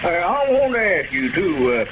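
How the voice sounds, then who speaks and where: An actor, pompous, hesitant and oily in his flattery. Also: high-pitched, a little slow. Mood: sly, evasive. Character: scheming, sanctimonious small-town squire, folksy busybody. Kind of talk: 1930s radio comedy acting. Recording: scratchy muffled 1930s radio broadcast, heavy noise.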